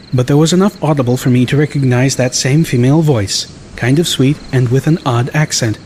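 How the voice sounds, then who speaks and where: Man, medium pitch, scripted first-person audiobook narration, faint ambient noise behind. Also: darkish tone.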